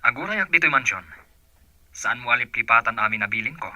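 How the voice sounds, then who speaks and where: Sharp, forceful, impatient boss. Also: male voice, medium pitch, lively intonation, fast talker, dry recording. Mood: impatient, commanding. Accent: Ilocano. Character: bossy, impatient male boss of a mansion. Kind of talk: Ilocano radio drama acting.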